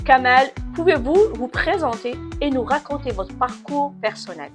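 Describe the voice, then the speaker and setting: A woman with a fairly high voice hosts the show. She reads a formal, scripted introduction and puts questions to her guest. Soft music plays faintly behind her. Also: animated, normal speed. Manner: formal, read-out welcome. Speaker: host.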